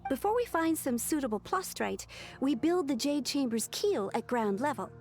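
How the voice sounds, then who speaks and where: An actress voicing a brisk young female helper in a video game, explaining things precisely. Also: high voice, very animated, a little mellow, faint music behind. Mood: brisk, explanatory. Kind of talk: video game dialogue acting.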